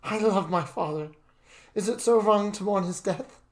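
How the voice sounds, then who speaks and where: Story role, read by a man: mournful young heir, sullen and argumentative, fairly high male voice. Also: normal speed, lightly acted. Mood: upset, pleading. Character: grieving young upper-class heir, tearful and stubborn.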